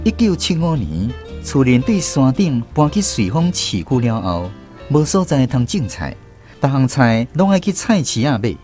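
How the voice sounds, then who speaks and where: A man's voice: medium pitch, big pitch swings, moderate pace, darkish tone, soft background music. A man with a Taiwanese accent narrating a scripted first-person story as a radio drama storyteller.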